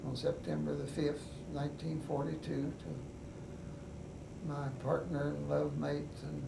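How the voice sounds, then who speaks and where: An older man with a West Virginian accent recalls his life slowly, pausing often. His voice is gravelly and fairly high, with little rise and fall. He sounds set back from the microphone in an echoey room with steady background noise. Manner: unhurried, halting, many pauses and fillers. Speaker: veteran interviewee. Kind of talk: oral history recollection. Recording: echoey room, mic at a distance, noisy.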